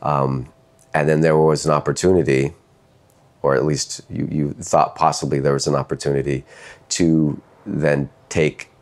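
A man's voice: a bass voice, level tone, moderate pace, a little mellow. A man hosting an interview and recapping the guest's story back to him, stumbling and restarting his sentences. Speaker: podcast host interviewer. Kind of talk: casual interview chat.

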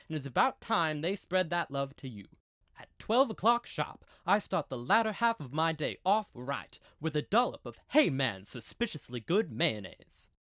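Animated young male radio announcer. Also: fairly high pitch, no echo, studio quality. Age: young adult. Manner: theatrical, salesmanlike. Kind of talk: old-time radio announcing and sponsor read.

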